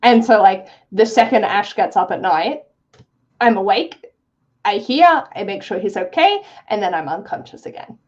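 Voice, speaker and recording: A woman co-hosting a livestream, chatting casually in an emphatic, expressive way. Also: mid-range voice, moderate pace, highs cut off. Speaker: co-host of a live reading sprint stream.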